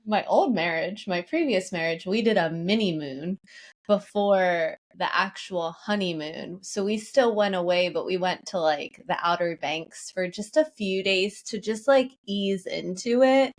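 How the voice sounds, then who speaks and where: A young woman co-hosting a casual podcast, relaxed and rambling, with lots of fillers. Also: fairly low pitch, measured pace. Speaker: podcast co-host, marketing officer. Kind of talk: casual chat.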